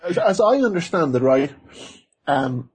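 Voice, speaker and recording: A man's voice: on the high side, lively intonation, measured pace, a little mellow, slightly rough. A man co-hosting a casual podcast, rambling and joking as he talks. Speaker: podcast co-host. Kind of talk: casual chat about animation.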